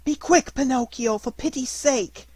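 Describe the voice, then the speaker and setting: A little female goat from a storybook, voiced by a man, anxious and loving. The voice is pushed very high in urgent, pleading cries on a dry recording. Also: a little slow, a little mellow, breathy edge, dry recording, heavily acted. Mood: frantic pleading.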